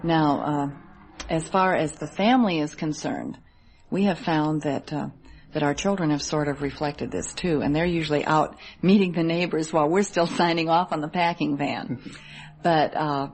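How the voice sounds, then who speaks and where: A woman's voice: deep voice, a little mellow. A woman in her thirties with an American accent, giving conversational answers as an interview guest. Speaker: interview guest, Army wife.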